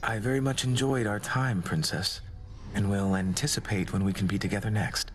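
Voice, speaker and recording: A courtly god of doom played by a man speaks in a low, measured voice. He is formal, melancholy and gently devoted, like a suitor in a fantasy game romance. There is some ambient noise behind him. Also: lightly acted. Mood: tender, earnest. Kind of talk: scripted video game romance dialogue.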